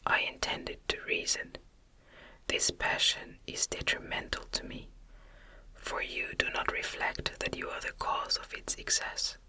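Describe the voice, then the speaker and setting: A woman voicing a miserable, vengeful, articulate man-made monster in a breathy, unvoiced whisper, for a gothic audiobook. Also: measured pace, sharp tone. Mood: restrained pleading.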